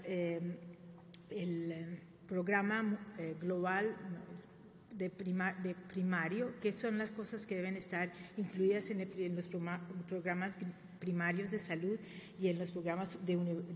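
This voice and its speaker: Woman giving a halting podium talk. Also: fairly low pitch, steady tone, a little hiss or hum. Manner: halting, many fillers and self-corrections. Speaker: conference speaker. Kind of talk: formal presentation at a podium. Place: government press room. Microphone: podium microphone. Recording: reverberant room, faint ambience.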